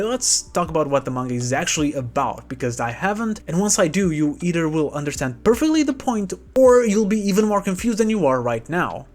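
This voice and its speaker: Sarcastic man discussing manga. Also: on the high side. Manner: sarcastic, dry jokes. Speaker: manga video essayist. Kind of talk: scripted commentary.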